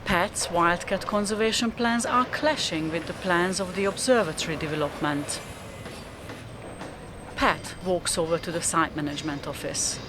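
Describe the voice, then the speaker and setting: A woman's voice: fairly low pitch, normal speed, noisy background. A woman reading scripted, descriptive narration for a radio drama.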